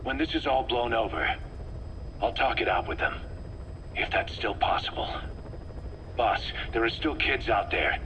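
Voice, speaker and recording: An earnest, embattled military commander in a dramatic video game. His mid-pitched voice is sharp and forceful, with a brooding intensity. Faint noise sits behind him. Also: expressive, unhurried, long pauses, low-quality recording. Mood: bitter, reflective.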